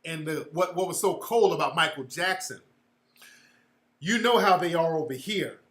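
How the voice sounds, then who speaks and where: A man's voice: on the high side, lively intonation, normal speed, a bit bright, roomy sound. A man telling stories in casual commentary, emphatic and full of profanity.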